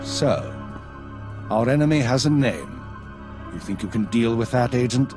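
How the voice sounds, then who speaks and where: An actor playing a stern, commanding intelligence chief in a sci-fi game, speaking with grave, clipped authority. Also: male voice, medium pitch, animated, a little slow, over music, close to an everyday voice. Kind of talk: video game voice acting.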